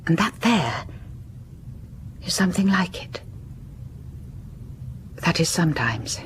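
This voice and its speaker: An actress playing a secretive, obsessive confined wife sliding into madness in a gothic audio drama monologue, halting and often slipping into breathy whispers. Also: female voice, fairly low pitch, sing-song, a bit bright, slight background noise, exaggerated voice. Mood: obsessed, haunted.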